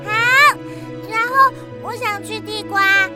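A woman playing a small, kind-hearted, excitable little girl in a children's radio drama, with cutesy, expressive delivery. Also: very high voice, slow pace, loud music behind the voice. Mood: happy.

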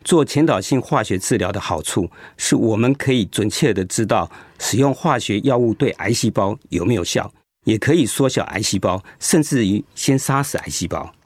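An actor playing a kind, steady male oncologist in a radio drama, explaining things in a reassuring way. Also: lowish voice, normal speed, slightly rough, no echo. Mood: reassuring, firm. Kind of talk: Mandarin radio drama acting.

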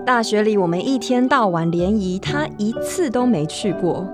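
A woman in her thirties acting as the wry narrator of a radio drama, telling of a friend in measured phrases with dramatic pauses. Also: medium pitch, lively intonation, music in the background, close to an everyday voice. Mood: wry, reflective.

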